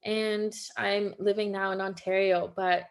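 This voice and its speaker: A woman's voice: mid-range voice, flat delivery. Earnest woman. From Quebec, Canada. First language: French. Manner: heartfelt, earnest, halting with many fillers. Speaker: podcast guest. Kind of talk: casual podcast interview.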